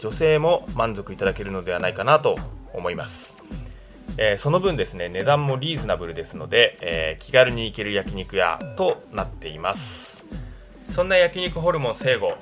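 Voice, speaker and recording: A man presenting a radio food report in a polite, semi-scripted style, measured and punctuated with filled pauses. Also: lowish voice, fairly bright tone. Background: background music.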